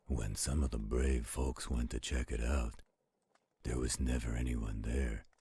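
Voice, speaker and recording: A man playing a gentle, protective werewolf in a roleplay audio, telling bedtime stories in a slow, intimate, caring way. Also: dry recording, full character voice. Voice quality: very deep, husky, gravelly growl. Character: gentle protective male werewolf, soothing storyteller to his partner.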